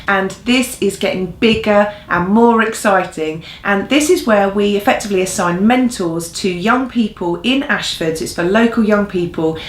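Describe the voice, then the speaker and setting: A woman co-hosting an informal church news update in an earnest, encouraging way. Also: mid-range voice. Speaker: church newsreel co-host. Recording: echoey room sound.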